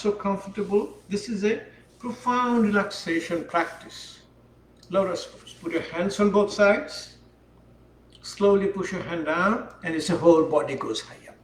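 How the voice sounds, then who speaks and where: A man teaching a yoga class, speaking calmly and unhurriedly while he demonstrates the poses. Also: high-pitched, slight background noise. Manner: calm, unhurried instruction while holding poses. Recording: echoey room, voice from far off.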